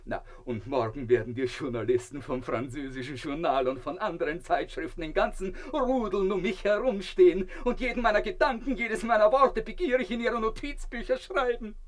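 A high-voiced, self-satisfied gentleman played by a male actor in a radio play. He lectures with pompous, theatrical delight and blissful vanity about himself. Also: moderate pace, hardly any pauses, lightly acted. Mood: grandly self-satisfied. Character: self-important man swallowed alive by a crocodile, blissfully vain.

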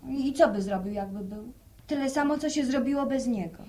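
Young village woman, played by an actress, high-pitched, no-nonsense and admonishing, radio drama dialogue. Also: fairly quick, warm tone, lightly acted. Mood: reasoning, firm. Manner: firm, admonishing, practical. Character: practical, firm adult daughter in a village household.

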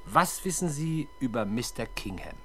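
A man's voice: mid-range voice, expressive, moderate pace, close to an everyday voice. An actor playing a probing, politely persistent male police inspector in a 1970s German radio crime drama. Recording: faint background noise, vintage radio production.

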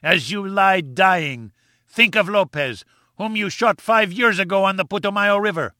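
A man playing a shrill, vengeful villain. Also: unhurried, bright, forceful tone, dry recording, clean studio sound. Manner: shrill, taunting, gloating. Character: vengeful half-mad male villain, shrieking.

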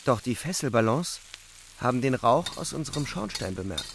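Young soldier narrating the war. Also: male voice, medium pitch, big pitch swings, normal speed, creaky, background noise. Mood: brisk, vivid. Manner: terse present-tense storytelling. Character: young German front soldier recounting the war. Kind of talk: literary radio play narration.

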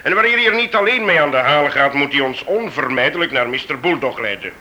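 An actor playing a genial, authoritative knighted investigator in a radio drama, speaking emphatically. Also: male voice, fairly high pitch, animated, fast talker, pushed and bright, highs cut off. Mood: grave, urgent. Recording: noisy old radio play recording.

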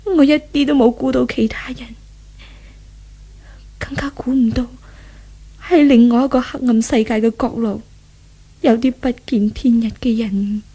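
A sad worn-out fallen rich girl, high husky voice, breathy and dramatic, old hong kong cantonese radio play. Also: steady tone, unhurried, pauses often, mellow. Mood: sorrowful reflection. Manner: dramatic, breathy monologue with sighs. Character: once-pampered fallen young woman, tormented, weary, remorseful. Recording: 1970s radio drama recording.

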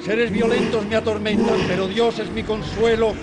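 A man acting in a radio drama as a commanding exorcist priest, declaiming prayers forcefully in a raised voice. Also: sits high, level tone, moderate pace, close to an everyday voice. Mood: commanding, fervent. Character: commanding exorcist priest praying fervently against a demon. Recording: loud background noise under the voice.

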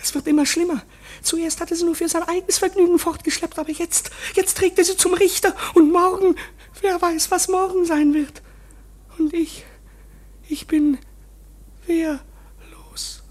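A woman's voice: very high-pitched, steady tone, measured pace, darkish tone. An actress in a German radio play playing an embittered, scornful female court underling, with dramatic delivery that often drops to a whisper. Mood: conspiratorial.

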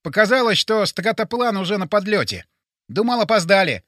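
Man acting a stubborn excitable sidekick, high bright voice, speech impediment on R, insistent, audiobook character. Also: a little fast, no room sound, clean studio sound, exaggerated voice. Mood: brisk explaining. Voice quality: speech impediment, garbled R sounds. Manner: emphatic, insistent. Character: bossy, excitable, bespectacled male sidekick, stubborn and self-important.

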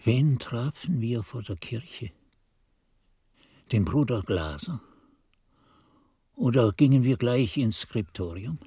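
A man's voice: medium pitch, animated, slow pace, pauses often, warm tone, husky, no room sound, exaggerated voice. An actor playing an old monk who narrates his youth in a radio drama, musing and questioning his own memory. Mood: musing, bewildered.

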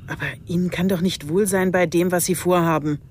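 An actress playing a determined, blunt female police chief inspector in radio drama dialogue, brisk, insistent and sardonic. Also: on the low side, fairly even intonation, darkish tone, slight background noise, natural voice. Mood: insistent, reproachful.

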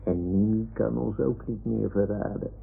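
A man playing a boastful, argumentative toy lion with a husky voice and a childlike way, in an old radio play. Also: fairly low pitch, fairly even intonation, moderate pace, soft background music, exaggerated voice. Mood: conspiratorial. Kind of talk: 1960s-70s radio play acting. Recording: tinny old radio recording.

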